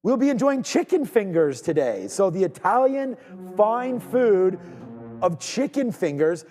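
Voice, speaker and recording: A man from Canada hosting a live trading stream, talking in energetic, rambling casual banter, repeating words and shouting out viewers. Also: high voice, measured pace, long reverb tail, soft background music.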